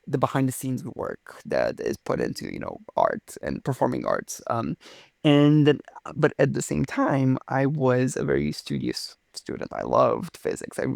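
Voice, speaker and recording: Gravelly-voiced enthusiastic young man from Romania. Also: mid-range voice, wildly swooping pitch, moderate pace, darkish tone, no room sound, studio quality. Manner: enthusiastic, theatrical phrasing. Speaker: physicist guest. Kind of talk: casual interview storytelling.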